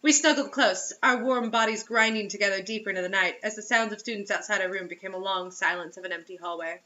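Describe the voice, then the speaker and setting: A woman's voice: medium pitch, fast, bright, forceful tone, dull audio. A woman giving a theatrical, giggly, mocking read-aloud of amateur fiction, with asides. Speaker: amateur reader of bad fiction.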